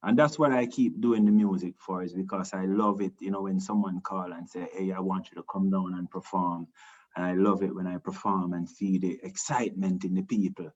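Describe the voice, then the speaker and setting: Jamaican man, low voice, lo-fi sound. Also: brisk. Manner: relaxed, rambling, many restarts and "you know". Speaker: reggae singer, interview guest. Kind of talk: casual interview talk.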